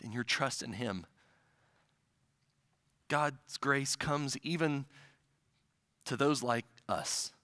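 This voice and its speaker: A man in his forties preaches from a church pulpit with a bright, fairly high voice. He swings between loud, urgent declarations and quiet, slowed-down asides. His headset mic gives a close, dry sound. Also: no echo. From American Midwest. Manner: impassioned preaching, swelling louder and dropping to soft asides. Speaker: pastor. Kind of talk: sermon. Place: church sanctuary stage at a pulpit.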